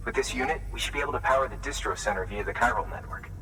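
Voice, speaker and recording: An actor playing an earnest, plain-spoken wind farm worker in a video game, grateful and weary. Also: male voice, medium pitch, rapid-fire, distant mic, close to an everyday voice. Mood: relieved, impressed.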